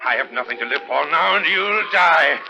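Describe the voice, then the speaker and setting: A man's voice: a little high, expressive, moderate pace, creaky. A man in a 1940s radio drama playing a crazed, grieving father bent on revenge, with a gloating, unhinged delivery. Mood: gloating madness. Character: crazed vengeful grieving father, a male bridge worker. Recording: noisy old 1940s radio recording.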